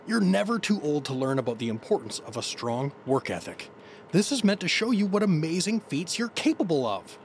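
A man's voice: fairly high pitch, sing-song, a little fast, slight background noise. A man playing an earnest, nerdy office father who sounds eager and awkward. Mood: eager, fatherly. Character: earnest, nerdy, put-upon office dad.

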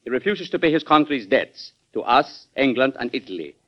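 An actor playing a courteous, stubborn ambassador in an old-time radio drama. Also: male voice, medium pitch, expressive, lightly acted. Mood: coolly polite. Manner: courteous, measured, unyielding. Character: formal, coolly stubborn German ambassador. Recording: dull vintage radio recording.

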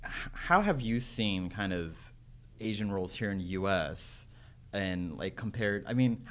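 A man's voice: low voice, lively intonation, measured pace, a bit bright. A male interviewer asking rambling, hesitant questions full of "like" and restarts.

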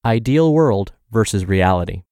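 A man's voice: on the low side, lively intonation, normal speed, darkish tone, clear, resonant tone, no room sound, studio quality. A man hosting a podcast, reading health and fitness blog posts aloud while congested and scratchy from the flu. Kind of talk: read-aloud narration.

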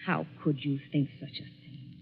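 A woman's voice: deep voice, steady tone, measured pace, creaky, breathy edge, soft background music, heavily acted. An actress playing a jilted woman coldly bent on revenge, controlled and bitter, in 1940s radio suspense style. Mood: coldly vengeful. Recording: dull, band-limited 1940s radio recording.

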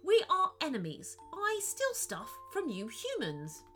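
A woman's voice: very high voice, huge pitch swings, measured pace, pushed and bright, faint music behind, voice pushed up, exaggerated voice. A woman voicing a scheming male rat gang leader who speaks in a stiff, formal way in a children's story. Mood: wary. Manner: formal and stiff, then sly.

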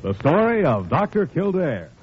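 High-pitched male vintage radio announcer over music. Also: huge pitch swings, normal speed. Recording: muffled old radio recording.

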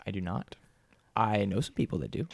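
A man's voice: on the low side, lively intonation, brisk, creaky. A young man playing his character in a tabletop game, talking casually with lots of restarts. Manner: casual, chatty, with frequent restarts and laughs. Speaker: player.

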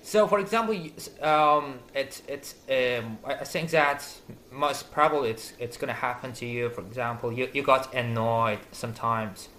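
A man's voice: medium pitch, moderate pace, hardly any pauses, bright, forceful tone, a little hiss or hum. A man whose first language is close to Turkish, asking questions in English with many restarts and fillers. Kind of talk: casual conversation.

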